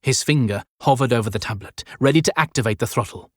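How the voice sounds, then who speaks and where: A man's voice: medium pitch, quick pace, dry recording. Man narrating dramatic fiction, dry studio sound. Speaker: audiobook narrator.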